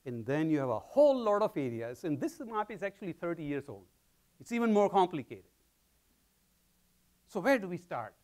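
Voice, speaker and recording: Animated man lecturing in a hall. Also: a little high. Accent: Indian. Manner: animated, gesturing while pacing the stage. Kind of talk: public science lecture. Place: large lecture hall with audience.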